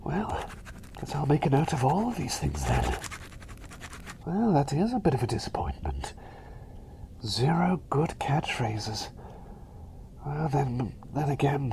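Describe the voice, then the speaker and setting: A man plays a cheery, eager-to-please plague doctor in an intimate ASMR roleplay. His hushed, whispery delivery rambles hesitantly, with a crackly edge and hugely animated pitch swings. It stays gentle and soothing, over faint ambient noise. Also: gravelly, exaggerated voice. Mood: hopeful, deflated.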